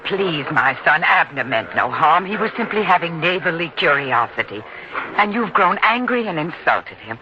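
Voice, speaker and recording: A woman's voice: lowish voice, big pitch swings, normal speed. A woman playing a devout, peacemaking middle-aged mother in an old-time radio drama, with an earnest theatrical delivery. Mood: gently reproving. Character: Sarah, devout gentle peacemaking mother of a farmer. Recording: dull lo-fi radio sound, faint noise.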